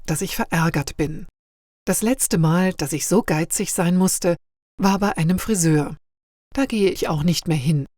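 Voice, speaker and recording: Female narrator, lowish register, measured didactic read for a language lesson, dry recording. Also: darkish tone, no echo. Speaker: narrator of language-learning listening texts. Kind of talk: scripted narration, read-aloud lesson for learners.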